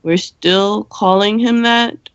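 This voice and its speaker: A young woman playing a dry, cynical high school senior girl who co-hosts a campus radio show in an amateur radio play. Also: fairly even intonation, slow, clear, resonant tone, no room sound, muffled recording.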